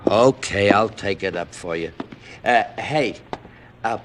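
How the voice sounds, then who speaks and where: An actor playing a dim, hesitant, plodding police sergeant in old radio comic style. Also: male voice, lowish voice, a little slow, lightly acted. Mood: slow-witted. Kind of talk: 1940s-50s radio comic acting. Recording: old radio recording with loud noise.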